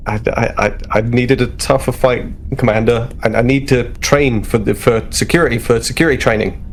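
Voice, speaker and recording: A man's voice: mid-range voice, normal speed, slight background noise, natural voice. A man voicing a nervous, guilty young starship ensign who stammers and apologises in a tabletop game. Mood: flustered.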